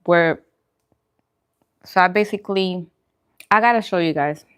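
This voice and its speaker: A woman's voice: fairly low pitch, a little slow, a bit bright, clean tone. A woman hosting a food channel, chatting casually and informally with viewers during a mukbang livestream.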